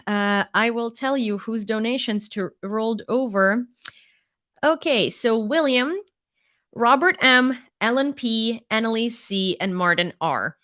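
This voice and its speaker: A woman's voice: a little high, unhurried, fairly bright tone, no room sound, clean studio sound. A young woman from Ukraine with an American accent, hosting a live stream, chatty with many fillers and frequent laughs, reading out news.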